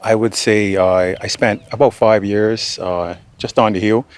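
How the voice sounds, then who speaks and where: A man's voice: fairly low pitch, fairly even intonation, unhurried. A young man giving informal interview answers as an air traffic controller. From Bermuda. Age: young adult.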